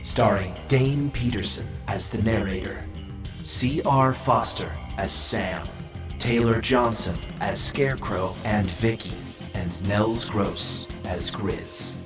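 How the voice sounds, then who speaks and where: Slow male announcer in a roomy space. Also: lowish voice. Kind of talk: scripted credits announcement. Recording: roomy, slightly distant, guitar music behind. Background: guitar music.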